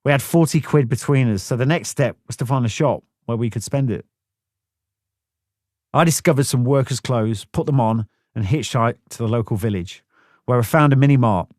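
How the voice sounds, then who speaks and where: A man's voice: medium pitch, normal speed, a little mellow, dry recording, studio quality. A man narrating a first-person memoir audiobook in a blunt, plain-spoken way, swearing freely.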